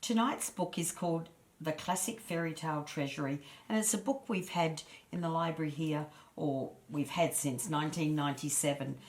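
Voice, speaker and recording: A woman storyteller reading a children's fairy tale aloud in a measured, expressive way. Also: sits low, slight background noise. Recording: echoey room.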